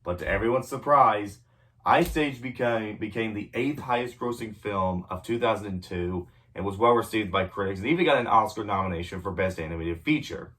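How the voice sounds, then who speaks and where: A man's voice: lowish voice, a little fast, a bit bright. Young man with a cold, distant mic. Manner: rambling, frequent ums and self-corrections, clears throat often. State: sick with a cold, voice a bit off. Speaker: movie reviewer. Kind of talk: casual movie review vlog.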